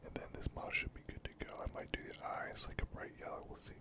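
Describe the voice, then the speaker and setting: A person whispering an ASMR running commentary while doing hobby work, murmuring to the listener in an unvoiced whisper. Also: quick pace. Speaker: host of a miniature painting ASMR channel.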